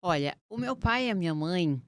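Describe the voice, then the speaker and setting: A middle-aged woman from Portugal, a lawyer speaking as an interview guest in an earnest, deliberate way. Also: on the low side, lively intonation, dry recording. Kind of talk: interview conversation.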